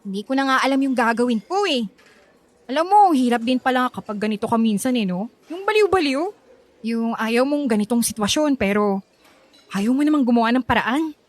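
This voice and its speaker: Woman acting as anxious teenage girl, Filipino accent, radio drama, high voice, very lively intonation. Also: brisk, no echo, lightly acted. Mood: fretful, indecisive. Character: anxious, indecisive teenage girl student, hurt by friends.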